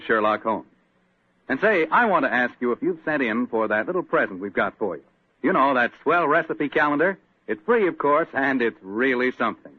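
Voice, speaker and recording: A man's voice: mid-range voice, dry recording, narrow, tinny audio. An American man working as a radio announcer and sponsor spokesman, in a folksy 1940s broadcast style.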